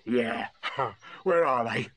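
A man's voice: medium pitch, expressive, slow, fairly bright tone, no room sound. A man voicing a boastful, bouncy, overconfident tiger in a storybook reading, with a chuckling, exclamatory manner. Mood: subdued.

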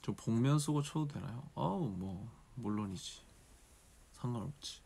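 Young male idol with a regional Korean dialect, slow gravelly delivery, drowsy late-night phone stream with faint room hiss. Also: medium pitch, lively intonation. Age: 20s. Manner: drowsy and meandering, mumbling to himself, softly singing snatches. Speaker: idol livestream host. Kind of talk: casual solo livestream chat with viewers. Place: small dim room at night. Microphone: phone camera at arm's length.

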